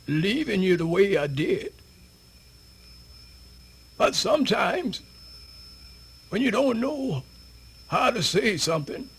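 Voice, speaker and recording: An actor playing a spirited, folksy elderly man with a Southern US accent, rambling and stammering through a radio theatre monologue in a raspy voice. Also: fairly bright tone, full character voice. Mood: measured, resolute. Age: older. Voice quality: raspy, creaky, high-pitched.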